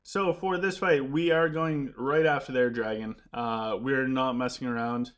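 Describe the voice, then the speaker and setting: A man's voice: medium pitch, steady tone, a little slow, clean tone. A man commentating his game live and answering viewer questions, chatty and rambling, with his voice starting to go. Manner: chatty, rambling, frequent restarts while playing. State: losing his voice. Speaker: gaming live streamer. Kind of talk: casual live-stream commentary.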